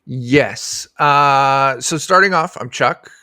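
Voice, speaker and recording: Man, thirties, medium pitch, casual rambling guest, jokey, no room sound. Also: slow pace, bright, forceful tone, dry recording, studio quality. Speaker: guest, streamer. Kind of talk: casual chat. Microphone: close desk microphone on a video call.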